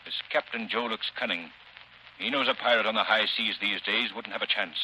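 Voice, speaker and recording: An actor playing a steady, shrewd gentleman crime-fighter in a 1930s radio drama, measured and resolute. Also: fairly low pitch. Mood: grim resolve. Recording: noisy, hissy 1930s radio recording.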